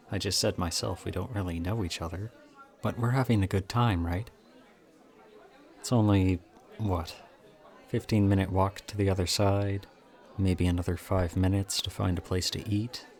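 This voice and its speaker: A man's voice: low voice, fairly even intonation, a little slow, pauses often, a little mellow, a faint crowd. A man playing an odd, charming young student with a creepy intensity, speaking casually and hesitantly to the listener in an audio roleplay. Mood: friendly, coaxing. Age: young adult.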